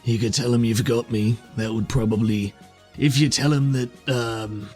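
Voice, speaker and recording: A man's voice: mid-range voice, steady tone, moderate pace, a little mellow, soft background music, natural voice. A man voicing a weary, guarded soldier in heavy armor, speaking bluntly and tersely in a tabletop roleplaying game. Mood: guarded, hesitant.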